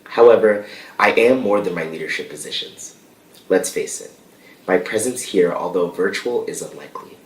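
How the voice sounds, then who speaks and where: Young male student leader, low voice, earnest and measured formal address, roomy sound. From United States. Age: young adult. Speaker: student association president. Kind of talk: prepared formal speech to a board. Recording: distant, echoey remote audio.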